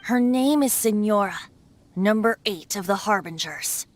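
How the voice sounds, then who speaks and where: A woman playing an ageless wind god in the guise of a young male bard in a video game, light and boyish, wistful and gently wry. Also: mid-range voice, unhurried, faint music behind, natural voice. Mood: explaining, sober.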